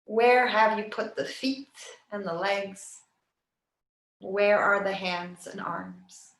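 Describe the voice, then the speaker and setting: A woman yoga nidra teacher leading a guided relaxation meditation in a soft, soothing, unhurried way with long pauses. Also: mid-range voice, vocal fry. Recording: roomy, echoing sound.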